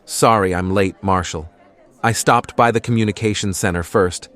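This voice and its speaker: A man's voice: lowish voice, normal speed, a bit bright, lightly acted. A man playing a weary, guilt-ridden research scientist in a horror audio comic. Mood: subdued, worried. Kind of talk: dramatic audio comic acting.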